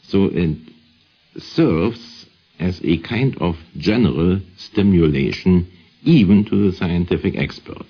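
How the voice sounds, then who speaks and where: A man giving deliberate, didactic explanatory commentary as an expert guest on vintage radio. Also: low voice, husky. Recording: dull vintage radio recording.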